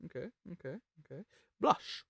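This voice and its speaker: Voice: moderate pace, dry recording, studio quality. A host chatting casually while ranking songs on a panel show, jokey, profane and talkative.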